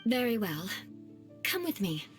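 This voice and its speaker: A woman's voice: fairly high pitch, sing-song, unhurried. A woman playing a proud, vengeful young aristocratic knight in a video game, speaking formally like a strict tutor, with music behind her. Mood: lecturing. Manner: formal, lofty, didactic.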